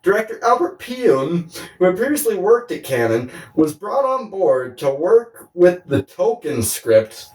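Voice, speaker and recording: A man's voice: high voice, very animated, a little slow, a little mellow. A man co-hosting a podcast, chatting casually and comedically about films and comics in a rambling, sweary, giggly way. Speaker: podcast co-host. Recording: roomy echo, distant from mic.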